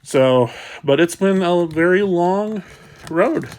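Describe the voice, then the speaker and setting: A man with a stuffed-up, sniffly voice, talking casually and softly about rescuing kittens, with many pauses and fillers. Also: high-pitched, animated. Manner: soft, rambling, with fillers. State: congested, sniffling. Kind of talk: casual vlog talk.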